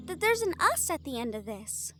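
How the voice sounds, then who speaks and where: A woman's voice: sits high, big pitch swings, moderate pace, a bit bright, faint music behind. A woman acting in an intimate audio roleplay as an anxious, tender young woman from a family of hunters, speaking earnestly and hesitantly. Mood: worried, earnest.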